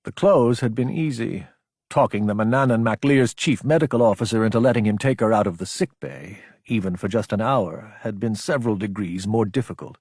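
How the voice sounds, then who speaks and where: Husky male audiobook narrator, dry sound. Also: lowish voice, fairly quick, a little mellow, no echo. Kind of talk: scripted dramatic fiction narration.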